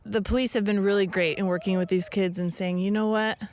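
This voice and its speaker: A woman, an officer with a charity, answering interview questions earnestly, with halting restarts and fillers. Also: mid-range voice, fairly even intonation, darkish tone, a little hiss or hum. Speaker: Salvation Army officer.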